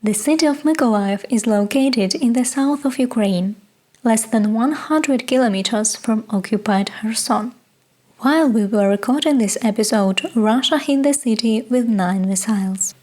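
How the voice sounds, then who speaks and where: A woman hosting a podcast, reading a calm, even, scripted news narration. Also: on the high side, normal speed. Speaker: podcast host.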